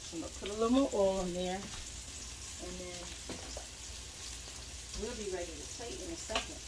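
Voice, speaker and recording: A woman's voice: on the low side, normal speed, very noisy. A young woman, a cooking vlogger, chatting casually as she fries food in her home kitchen and moves around the stove.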